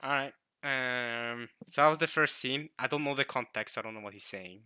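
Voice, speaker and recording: A man's voice: mid-range voice, normal speed, sharp tone, clear, resonant tone, dry recording, studio quality. A man from Norway hosting a casual reaction video, rambling and cutting himself off, laughing often.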